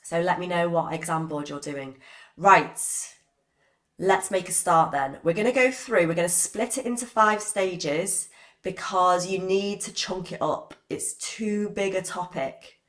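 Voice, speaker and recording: A woman, hoarse from a cold, giving a live-streamed biology revision lesson and chatting with viewers by name. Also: on the low side, unhurried, fairly bright tone. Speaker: biology teacher.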